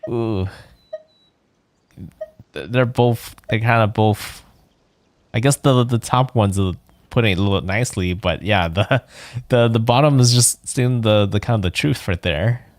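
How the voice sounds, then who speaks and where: A male game streamer with a lowish voice muses casually as he plays. His delivery is tentative and halting, recorded dry and close. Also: dry recording. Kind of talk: casual let's play commentary.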